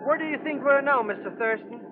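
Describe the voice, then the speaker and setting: A man's voice: very high-pitched, fast talker, heavy background noise. A man playing a nervy, money-grubbing comic sidekick in a vintage radio drama, fussy and wheedling. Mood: boastful. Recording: muffled, band-limited old radio recording.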